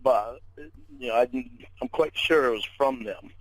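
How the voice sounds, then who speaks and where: A man chatting casually on a phone call in an animated, amused way, often exclaiming. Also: mid-range voice, moderate pace, dry recording.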